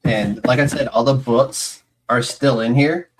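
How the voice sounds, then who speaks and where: A man hosting a podcast, chatting in a rambling, excitable way as a fan. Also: medium pitch, a little slow, rough recording. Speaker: podcast host. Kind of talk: casual podcast interview.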